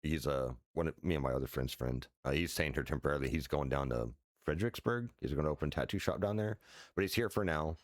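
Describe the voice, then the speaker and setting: A man in his forties, a streamer with a deep voice, chatting loosely at home with many fillers and restarts and casual swearing. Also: quick pace, no echo, studio quality. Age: 40s. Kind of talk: casual rambling chat while sim racing. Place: his house.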